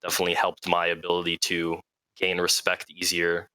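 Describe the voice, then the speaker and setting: A man's voice: deep voice, completely flat delivery, brisk, pushed and bright, clear, resonant tone, dry recording. A man in his twenties, a guest talking casually and reflectively, with lots of filler words. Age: 20s. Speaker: guest fleet commander. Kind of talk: casual interview talk.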